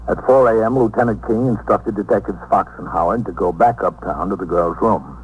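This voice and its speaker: An actor playing a sober American police captain, narrating a case in an official, measured 1950s radio style. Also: male voice, lowish voice, lightly acted. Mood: matter-of-fact. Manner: matter-of-fact, official, measured. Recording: noisy 1950s radio recording.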